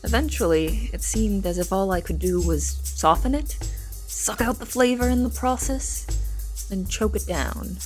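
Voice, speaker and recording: A woman's voice: mid-range voice, a little slow, faint music behind, close to an everyday voice. A woman playing an earnest young cook in an audio drama, narrating in formal, literary wording. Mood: reflective, measured. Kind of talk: audio drama first-person narration and dialogue.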